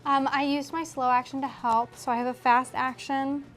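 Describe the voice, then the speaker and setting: A woman's voice: sits high, flat delivery, moderate pace. A woman chatting casually as a player in a tabletop role-playing game.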